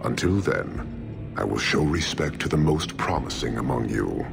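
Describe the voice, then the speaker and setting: A man playing a stern, imposing giant warlord in a video game, speaking in measured, formal, contemptuous pronouncements. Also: extremely low pitch, lively intonation, creaky, over loud music, full character voice. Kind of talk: video game cutscene dialogue.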